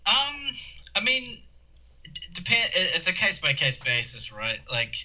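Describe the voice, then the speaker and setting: A man's voice: high voice, very animated, moderate pace, bright, forceful tone, poor audio. A young man from New Zealand with a New Zealand accent, chatting as a podcast guest, rambling with lots of fillers and restarts. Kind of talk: casual chat.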